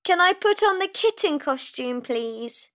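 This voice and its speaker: A young girl in a children's storybook. The voice is extremely high and bright, spoken slowly and earnestly. She is polite but says what she thinks. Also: lively intonation. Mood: firm, polite. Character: young girl, polite, outspoken, easily frightened.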